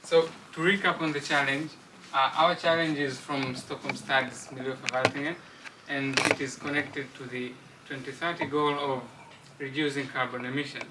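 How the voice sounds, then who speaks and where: A man from Tanzania, a student presenting a project to an audience in a steady, explaining way. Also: mid-range voice. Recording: distant mic with clear room noise.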